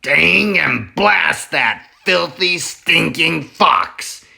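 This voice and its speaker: Storybook farmer, grown man, waddling and furious, cursing in a shrill bark; a male reader puts him on. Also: animated, very slow, creaky, exaggerated voice. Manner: high, loud, blustering outbursts.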